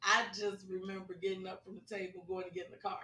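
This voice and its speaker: A woman co-hosting a podcast in casual, slangy chat, her voice hoarse. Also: mid-range voice, level tone, quick pace, pushed and bright, a little hiss or hum. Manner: chatty, slangy, laughing. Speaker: podcast co-host. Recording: roomy, distant mic.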